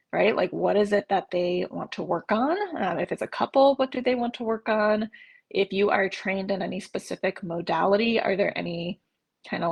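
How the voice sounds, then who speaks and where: A woman's voice: lowish voice, vocal fry, husky. A woman with a stuffed-up nose giving a casual live training for therapists, chatty with many fillers and restarts. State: stuffed up from allergies. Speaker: coach for therapists. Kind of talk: live online training talk. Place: home, in Oregon.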